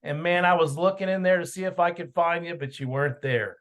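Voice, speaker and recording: A man's voice: fairly high pitch, fairly bright tone. A man leading a team video meeting, chatting in a rambling way, telling stories and calling on team members. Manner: chatty, rambling, quick to praise. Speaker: team leader hosting a meeting. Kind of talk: casual meeting chat. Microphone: video call.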